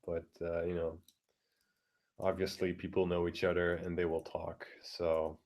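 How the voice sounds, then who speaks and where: A man with a deep voice ranting crudely and bluntly, keeping his voice down at home, halting with many fillers and restarts. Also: level tone. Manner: keeps his voice down, talking quietly; crude, blunt, heavy swearing. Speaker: vlogger. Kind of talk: casual profane rant. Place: home room with neighbors through the walls.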